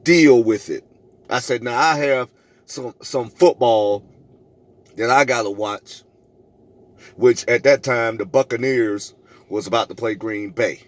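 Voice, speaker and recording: A middle-aged man speaks as a vlogger recounting personal stories. His delivery is emphatic and preachy, with phrases repeated for weight. He re-enacts dialogue as he goes, in a medium-pitched voice. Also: measured pace, long pauses. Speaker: vlogger telling a personal story. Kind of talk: animated storytelling to camera, re-enacting arguments.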